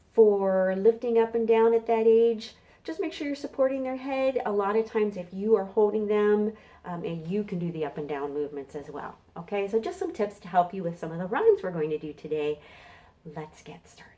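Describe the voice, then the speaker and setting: A woman's voice: medium pitch, lively intonation, moderate pace, mellow, far from the mic. A woman leading a storytime for babies and toddlers, encouraging and playful, giving tips and chanting sing-song rhymes. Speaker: children's librarian leading storytime.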